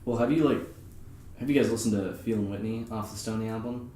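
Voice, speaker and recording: A man's voice: on the low side, steady tone, fast talker, mellow. A young man hosting a podcast in casual, slangy, informal conversation. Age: young adult. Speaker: podcast host. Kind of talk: casual chat. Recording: echoey, distant, noisy room recording.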